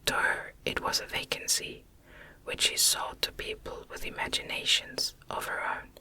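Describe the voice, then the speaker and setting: A woman whispers a literary narration in ASMR style. The whisper is fully breathy and unvoiced, with a bright, airy edge. Her storytelling is soft and measured. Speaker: ASMR audiobook reader. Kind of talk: whispered ASMR reading of a classic novel.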